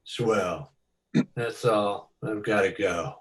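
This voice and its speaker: A man's voice: lowish voice, drawn-out words. A man playing a self-assured, menacing casino boss in a radio detective play, terse and commanding. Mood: amused. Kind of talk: old-time radio detective drama acting.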